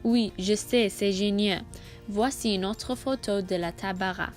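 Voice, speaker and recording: Jeune femme, voix off de projet scolaire, lecture appliquée, musique discrète derrière. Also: female voice, medium pitch, darkish tone. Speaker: student presenting a school project. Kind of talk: scripted voice-over narration.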